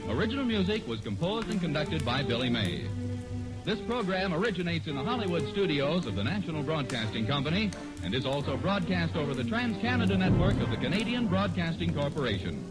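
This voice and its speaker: A man's voice: on the high side, sing-song, fairly quick, poor audio. A man with an American accent reading scripted credits as a 1940s radio announcer. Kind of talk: scripted 1940s radio sign-off over music. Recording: old radio broadcast with loud music.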